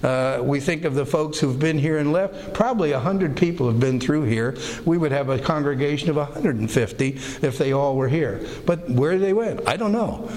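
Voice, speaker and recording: An older man with a raspy voice, preaching a folksy, conversational sermon to his congregation, often acting out the voices of people he quotes. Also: on the high side, expressive, normal speed, few pauses, darkish tone. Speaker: pastor. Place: church sanctuary. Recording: reverberant hall.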